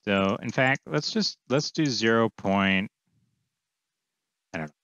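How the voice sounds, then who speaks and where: A man in his thirties with a fairly low, clean and smooth voice walks through a tutorial casually, thinking aloud. The sound is dry and close but slightly dull and lo-fi. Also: slow, a bit bright, dry recording. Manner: informal, offhand, thinking aloud. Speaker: coding tutorial presenter. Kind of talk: casual screencast walkthrough, explaining as he goes. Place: small indoor room, screen recording with webcam.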